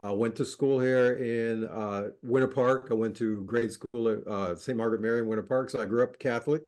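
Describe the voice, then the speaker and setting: A middle-aged man from Colombia talks as a podcast guest in a loose, relaxed way, with plenty of fillers and easy laughter. His voice sits at an ordinary, mid pitch. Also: brisk. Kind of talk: casual interview chat.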